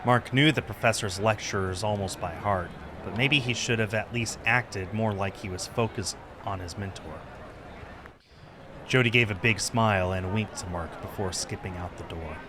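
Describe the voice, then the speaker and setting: A man's voice: lowish voice, normal speed, noisy background. A man reading story narration aloud from a script. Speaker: streamer reading a visual novel aloud.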